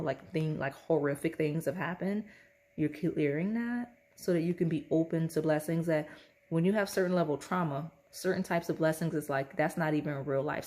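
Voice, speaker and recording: A woman talks through a pick-a-card tarot reading in a loose, chatty way and keeps checking in with "okay?" Her voice sits a little low. It is hoarse and has a slight rasp, as if she is losing it. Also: dark tone. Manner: chatty, repeats "okay?" often. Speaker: tarot reader.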